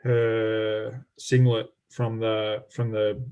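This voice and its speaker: A man's voice: lowish voice, little pitch movement, very slow, a little mellow, clear, resonant tone. Male coach, casual and rambling, on a video call. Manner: rambling, lots of fillers and restarts. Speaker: athletics coach.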